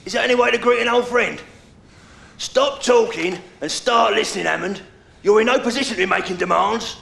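An actor playing an older London crime boss in a video game, gravelly, taunting, deliberate and theatrical. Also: male voice, high voice, sharp tone, exaggerated voice. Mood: mocking. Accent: London English. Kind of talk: video game cutscene acting. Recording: echoey room with music underneath.

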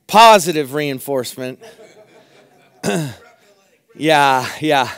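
A middle-aged man preaching a sermon from a church pulpit, his voice hoarse, earnestly repeating phrases for emphasis. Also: fairly high pitch, expressive, extremely slow, a bit bright, no room sound. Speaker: pastor. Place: church sanctuary at a pulpit, indoors.

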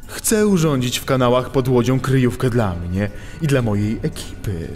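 A man's voice: medium pitch, expressive, normal speed, darkish tone, lightly acted. An actor playing a smug, mocking animal thief and gang boss in a children's audio drama, savoring his words theatrically. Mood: scheming, insistent. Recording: long cavernous reverb, music behind.

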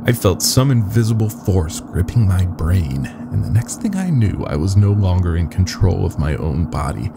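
A man's voice: sits low, expressive, moderate pace, vocal fry, few pauses, over music. A man voicing a nervous, foul-mouthed young lab worker confessing a horror with urgency. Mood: shaken, urgent.

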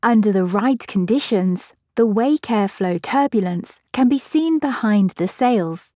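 A woman giving a measured, scripted narration for an educational physics video. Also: mid-range voice, expressive, warm tone, no echo, studio quality.

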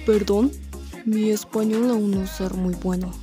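A woman voicing a brooding, earnest, halting young Russian man in a fan-dubbed comic. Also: medium pitch, steady tone, over music, close to an everyday voice. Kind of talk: fandub comic voice acting.

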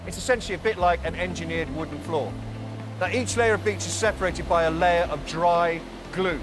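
A man in his forties leading a tour of a large factory floor, explaining each production step in a calm, clear way. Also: sits high, fairly quick, noisy background. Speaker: factory guide. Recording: music bed under voice.

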